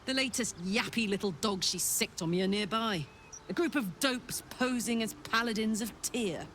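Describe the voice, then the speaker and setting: A woman's voice: medium pitch, moderate pace, fairly bright tone, slight background noise, lightly acted. An actress playing a brash, fierce female warrior escaped from hell in a video game, blunt, sweary and informal in manner. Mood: confident, eager. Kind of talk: video game character acting.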